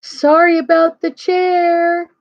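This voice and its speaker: A woman's voice: very high voice, big pitch swings, unhurried, dark tone, dry recording, lo-fi sound, voice pushed up, heavily acted. A woman voicing a jolly old man, Father Christmas, in a hearty children's storybook manner. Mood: apologetic, hurried. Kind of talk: children's storybook read aloud.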